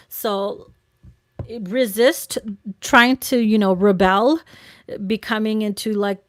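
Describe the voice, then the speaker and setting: A woman reads cards for her livestream viewers in a loose, chatty style. She sounds encouraging and informal, with a slightly hoarse voice at a middling pitch. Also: no room sound, clean studio sound. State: slightly hoarse from long talking. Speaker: tarot reader hosting a livestream. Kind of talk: casual live card reading addressed to viewers.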